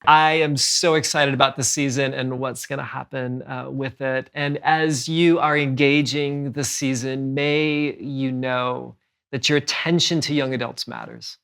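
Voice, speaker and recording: Man hosting a podcast, slightly creaky voice. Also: mid-range voice, steady tone, unhurried, fairly bright tone. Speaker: podcast host.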